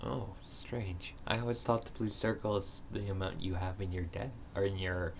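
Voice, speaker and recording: Low-voiced man chatting over a card game. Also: steady tone, gravelly, slight background noise. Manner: explains rules in halting bursts with fillers. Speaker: stream co-host. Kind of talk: casual chat while playing a card game. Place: game store.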